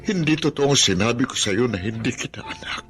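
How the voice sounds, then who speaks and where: A man's voice: medium pitch, expressive, brisk, fairly bright tone, faint music behind, natural voice. An actor in a Filipino radio drama playing a sickly, proud father with an earnest, emotional delivery and a Filipino accent. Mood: anguished remorse. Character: sickly, proud, defiant father who turns remorseful.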